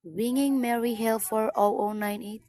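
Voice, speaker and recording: A woman's voice: fairly high pitch, steady tone, moderate pace, close to an everyday voice. A woman playing a polite, formal telephone operator in a radio play, speaking in set procedural phrases. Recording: noisy recording with clicks.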